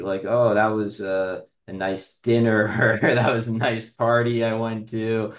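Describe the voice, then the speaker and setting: A man in his thirties with a low voice and an unhurried pace. He talks like a podcast host in casual, reflective conversation, halting often as he works out each thought. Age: 30s. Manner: hesitant, halting, thinking aloud.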